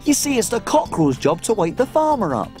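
A woman's voice: a little high, big pitch swings, a little fast, dark tone, soft background music. An actress playing a tiny, cheerful female cook who tells stories in a bubbly, sing-song children's TV manner. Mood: cheery. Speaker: little cook. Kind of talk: children's TV acting.

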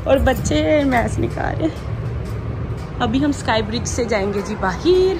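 A woman in her thirties from Pakistan, with a Pakistani Urdu accent, chatting casually to viewers in a vlog. She talks straight to the camera and often adds a polite "ji". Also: high voice. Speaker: family vlogger. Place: busy airport, parking and terminal. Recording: loud crowd and traffic noise.

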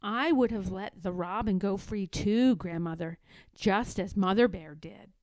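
A woman's voice: medium pitch, unhurried, a little mellow, dry recording, close to an everyday voice. A woman voicing an eager, playful little male bear cub in a children's storybook, childlike and earnest.

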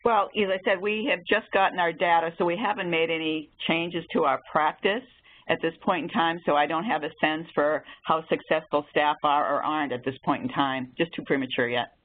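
A woman answers as a panelist in a measured, explanatory way. Her voice comes through a muffled, phone-like connection with very limited bandwidth. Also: sits low, steady tone. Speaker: panelist, home health professional.

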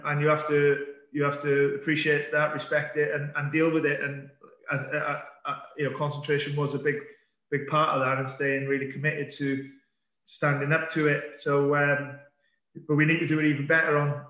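Calm manager, echoey video call. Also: male voice, a little high. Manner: calm, measured, many fillers and restarts. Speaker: football manager. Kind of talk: post-match press conference. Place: indoor press room in front of a sponsor board. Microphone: webcam on a video call. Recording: echoey room.

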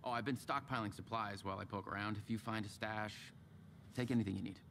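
A man's voice: fairly low pitch, fairly even intonation, fast talker, fairly bright tone, slight background noise. An actor playing an affable, puzzled lawman stranded in a dream world, in a naturalistic video game style. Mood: puzzled, determined.

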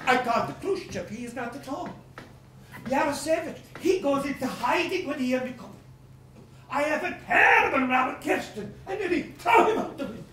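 A woman's voice: high-pitched, a bit bright, a little hiss or hum, exaggerated voice. A woman playing a ranting, furious landowner. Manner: ranting, exclamatory, self-pitying outbursts. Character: blustering angry male landowner, middle-aged creditor. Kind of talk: stage play reading. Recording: roomy echo, from a distance.

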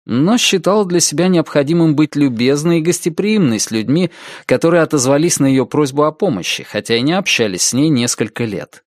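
A man reading a detective novel as a scripted audiobook, in a measured, expressive storytelling manner. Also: fairly high pitch, no room sound, studio quality. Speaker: audiobook narrator.

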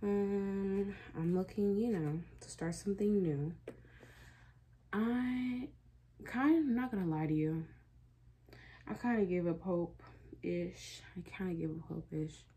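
A woman's voice: mid-range voice, slow, pauses often, mellow, a little hiss or hum. A 20-year-old woman chatting casually and candidly in a personal vlog, rambling reflectively in a bedroom. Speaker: vlogger. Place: small bedroom, indoors.

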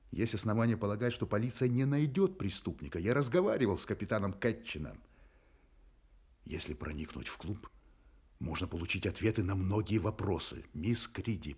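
An actor playing a polite, insistent private detective in a radio play, pleading courteously in halting phrases. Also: male voice, deep voice, sing-song, darkish tone, husky, exaggerated voice. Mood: earnest, then resigned. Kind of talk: Soviet-style radio play acting.

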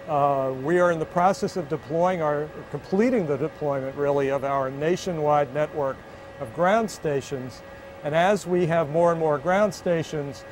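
An American man in his sixties gives a measured, methodical explanation to camera. His voice sits a little higher than most men's. He is on a lapel mic, with faint ambient noise behind him. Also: a little mellow, low-quality recording. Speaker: aviation official and pilot. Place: inside an aircraft cabin.

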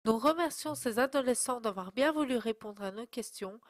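A woman reading a scripted voice-over and putting interview questions in a clear, measured way. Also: on the high side, dry recording, studio quality. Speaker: narrator and interviewer.

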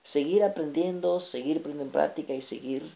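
A man in his thirties talking straight into a close camera in a small room at night, promoting his book with lively, repetitive motivational lists. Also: fairly high pitch, a little fast, vocal fry, rough recording. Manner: energetic, gesturing a lot, repetitive lists. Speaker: author and motivational speaker. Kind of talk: late-night motivational vlog. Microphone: camera held close.

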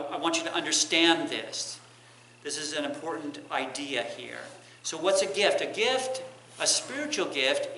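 Bright-voiced preacher, echoey church. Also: male voice, a little high. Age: middle-aged. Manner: explanatory, repeats and rephrases points. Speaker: preacher giving a Bible lesson. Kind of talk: teaching sermon. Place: church sanctuary, indoors. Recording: echoey church room, clear background noise.

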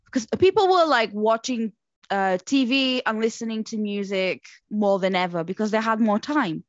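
Upbeat woman podcast host, portuguese first language, kinda high voice, lo-fi audio. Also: moderate pace, dry recording. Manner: chatty, upbeat, many fillers. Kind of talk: casual interview chat. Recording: dull dry lo-fi audio.

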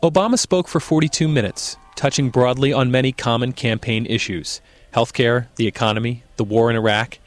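A man narrating a scripted news report in a measured, even way. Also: mid-range voice.

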